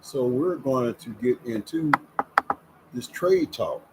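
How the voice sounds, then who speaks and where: A man's voice: medium pitch, huge pitch swings, slow, gravelly, heavy background noise. A man in his fifties hosting a casual sports talk show, chatty and folksy, leaning on words for emphasis. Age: 50s. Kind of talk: casual sports commentary vlog. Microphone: camera setup with ring light.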